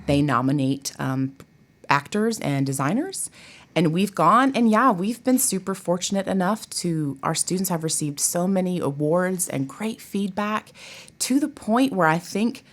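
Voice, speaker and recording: A woman's voice: on the low side, animated, measured pace. A woman chatting informally and expressively as an interview guest, like a college theatre professor. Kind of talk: casual interview chat.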